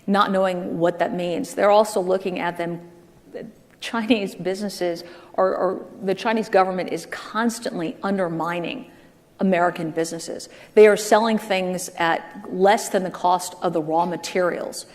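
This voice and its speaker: A woman's voice: fairly low pitch, steady tone, moderate pace. A woman, a congresswoman on a panel, answering in a matter-of-fact way. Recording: hall with long reverb.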